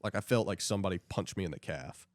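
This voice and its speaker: A man's voice: low-pitched, quick pace, no echo, studio quality. A young American man chatting casually and reminiscing as a podcast co-host. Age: young adult. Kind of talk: casual chat, reminiscing about sports.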